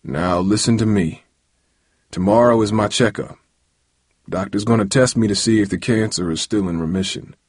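A man voicing a weary, serious middle-aged father in an audiobook, speaking gravely and gently as he gives advice. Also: fairly low pitch, no echo, clean studio sound. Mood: weary, earnest. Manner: slow, grave, gentle advising. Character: weary, serious ailing father of a teenage boy.

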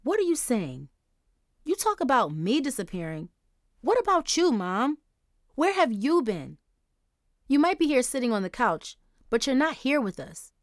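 A woman's voice: high-pitched, very animated, a little slow, long pauses, dry recording, clean studio sound, voice pushed up. A woman voicing an upset, defensive fourteen-year-old girl who pleads and then lashes out angrily. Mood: angry. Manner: pleading, then angry and blurting.

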